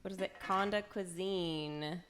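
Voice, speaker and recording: A woman's voice: on the low side, steady tone, measured pace, fairly bright tone, a little hiss or hum. A woman streaming a video game, chatting casually and playfully as she reacts aloud and banters with viewers. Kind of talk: casual livestream chat over gameplay.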